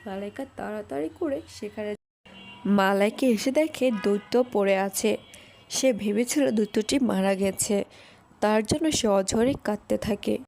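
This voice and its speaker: A woman's voice: medium pitch, moderate pace, vocal fry, darkish tone, faint music behind. A woman reading scripted fairy tale narration as the storyteller.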